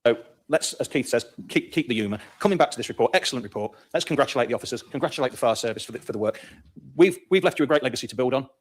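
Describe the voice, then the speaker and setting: A man's voice: mid-range voice, expressive, rapid-fire. A man speaking as a councillor in a meeting, in a good-humoured, conciliatory way.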